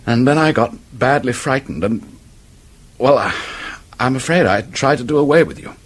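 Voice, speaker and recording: An actor playing a remorseful, middle-aged English office man confessing to murder, halting and stammering, in a radio drama. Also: medium pitch, animated, natural voice. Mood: shaken, confessing. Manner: halting, stammering, old-fashioned public-school phrasing.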